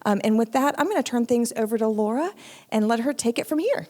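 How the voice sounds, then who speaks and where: A woman event host opens the day with friendly, loose remarks full of ums. Her voice sits a little high and carries a long reverb from the hall she speaks in. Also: expressive, fast talker, warm tone. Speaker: general manager and event host. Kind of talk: welcome remarks at a conference. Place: large event hall with live audience.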